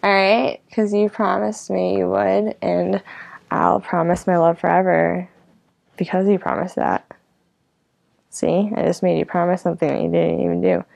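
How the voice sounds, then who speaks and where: Low, creaky-voiced woman, casual and rambling. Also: flat delivery, moderate pace, darkish tone, no echo. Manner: informal, meandering, filler-heavy with frequent "like" and "you know". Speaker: host of her own online show. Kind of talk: casual rambling vlog reflection.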